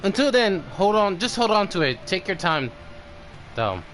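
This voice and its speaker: A man's voice: sits high, very animated, moderate pace, a bit bright. A man livestreaming a game, chatting casually and reacting as he plays. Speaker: game streamer. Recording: faint background noise.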